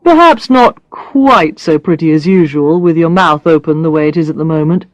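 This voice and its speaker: A woman reading the part of a kindly, tired young man who is her boss, speaking in a gentle, matter-of-fact way. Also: low-pitched, lively intonation, moderate pace, no room sound.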